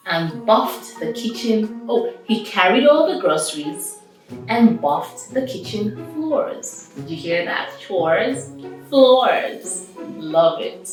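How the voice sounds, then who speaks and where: Female children's story reader, high lilting voice, unhurried, enthusiastic, drawing out key words. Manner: expressive, enthusiastic, drawn-out emphasis. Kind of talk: animated read-aloud with chatty asides to children. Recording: very echoey room, distant mic, music behind.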